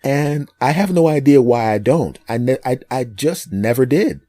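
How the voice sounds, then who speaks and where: A man in his fifties from Philadelphia, chatting as a comedian guest and telling animated, joking stories. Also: medium pitch, crowd noise. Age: 50s. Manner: animated joking storyteller, quick asides. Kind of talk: casual chat.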